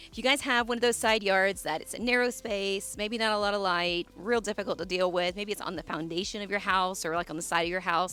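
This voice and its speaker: A woman in her forties, hoarse from a cold, chatting casually as she leads a tour of her garden outdoors. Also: on the low side. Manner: chatty, warm asides with fillers and restarts. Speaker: landscape designer and channel host. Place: outdoors in her own garden side yard. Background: soft music and running water.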